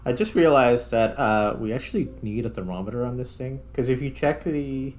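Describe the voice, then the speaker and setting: A man giving casual gameplay commentary, thinking aloud in a halting, musing way. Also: mid-range voice, darkish tone. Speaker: gaming video host.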